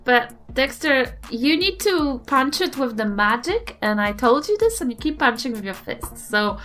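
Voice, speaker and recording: A woman's voice: high voice, sing-song, normal speed, a bit bright, faint music behind. A woman playing a tabletop game, chatting casually and asking questions as she works out her move.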